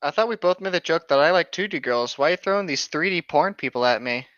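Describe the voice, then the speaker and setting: A man's voice: fairly high pitch, animated, quick pace, a bit bright, no room sound, muffled recording. A man co-hosting a podcast in casual, cheeky, teasing banter. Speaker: podcast co-host.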